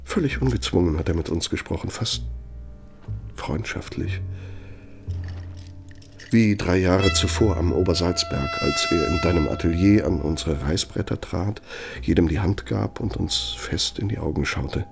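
An actor playing an embittered, loyal old aide to an architect in a radio play, confiding and sardonic, speaking deliberately with pointed pauses. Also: male voice, sits low, creaky, faint music behind. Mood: nostalgic, reverent. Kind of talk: German radio play monologue.

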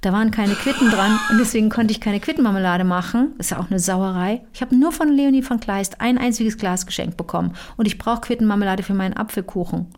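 A woman with a mid-range voice chats casually on a call with a close friend. She talks in a lively, rambling way and wanders from one topic to another. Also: medium pitch, fast, hardly any pauses. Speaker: comedian and co-host. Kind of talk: casual phone chat between friends.

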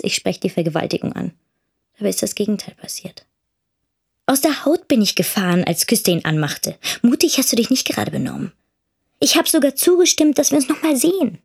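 A woman's voice: medium pitch, creaky, a little mellow, breathy edge. A young woman playing a defiant, outspoken teenage daughter telling her story in a radio drama.

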